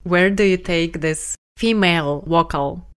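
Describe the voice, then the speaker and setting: Slow, low-voiced woman interviewer. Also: fairly bright tone, smooth. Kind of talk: interview questions.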